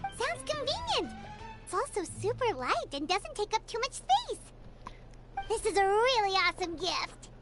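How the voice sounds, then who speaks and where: A woman voicing a tiny, excitable female fairy companion in a game, with a childlike, exclaiming manner. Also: extremely high pitch, normal speed. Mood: cheerful. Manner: childlike, speaks of herself in third person, exclaiming. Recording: game music behind voice.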